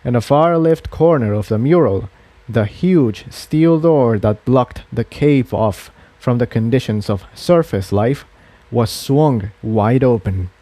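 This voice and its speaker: A male storyteller narrates a fantasy adventure from a script. His voice is mellow and relaxed, with deliberate, measured phrasing. The recording is dry, with hardly any room sound. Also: medium pitch, no echo.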